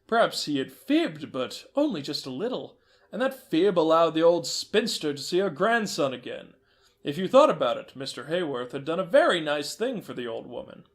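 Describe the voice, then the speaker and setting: Man, narrator, fairy tale, gentle, measured, fairly high voice. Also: big pitch swings. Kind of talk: storybook narration.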